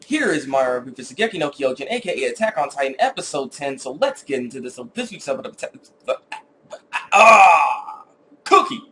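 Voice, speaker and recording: A man casually reviewing an anime episode off the cuff, retelling scenes in an animated, rambling way and acting out quotes. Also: medium pitch, fairly quick, a little hiss or hum. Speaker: anime reviewer with his own video channel.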